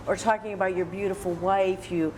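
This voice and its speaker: A woman's voice: mid-range voice, steady tone, fairly quick, darkish tone, a little hiss or hum, rough recording. An American woman hosting an interview, encouraging her guest and restating what is said. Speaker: interviewer and host. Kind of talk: interview at a senior center, prompting and recapping.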